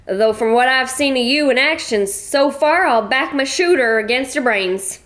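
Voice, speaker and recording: A woman's voice: sits high, expressive, normal speed, fairly bright tone. A woman voicing a brash, slangy widow who owns a chateau and carries a six-shooter, in an audio drama. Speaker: Mrs. Gibson.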